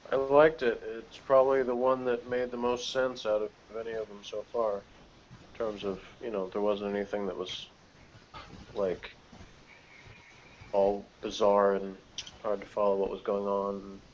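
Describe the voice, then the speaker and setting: A man with a lowish voice gives opinions in a casual, rambling review chat. The recording is dull, with faint noise behind him. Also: flat delivery, a little slow, a little mellow. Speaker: review panelist. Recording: dull, noisy online call audio.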